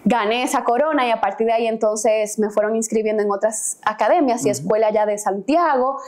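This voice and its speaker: A young woman with a Dominican Spanish accent and a fairly high voice talks easily as an interview guest. She fills her story with "eh" and "pues". She is recorded on a clip-on mic in a quiet indoor room. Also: fairly quick. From Dominican Republic. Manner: chatty, many fillers like "eh" and "pues". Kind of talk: casual interview answers. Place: indoor hotel-style room. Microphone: lapel mic.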